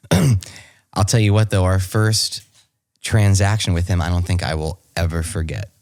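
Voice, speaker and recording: A male co-host chats casually on a podcast, agreeing readily and leaning on "like" and "yeah". His voice is low. The recording is dry, with no room sound. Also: steady tone, measured pace, darkish tone, no echo.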